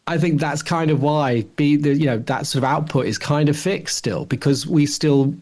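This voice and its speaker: A man's voice: a little high, normal speed, a little mellow. A man of about fifty chatting casually as a podcast host, opinionated and inclined to tease. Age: late 40s or about 50. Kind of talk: casual discussion of television.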